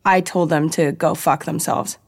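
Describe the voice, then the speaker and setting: An anxious, plain-spoken woman scientist in audiobook dialogue, acted by a woman narrator. Her voice is low, and her delivery is blunt and casual. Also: steady tone, a little slow, vocal fry, slightly rough, exaggerated voice. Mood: wry, defiant.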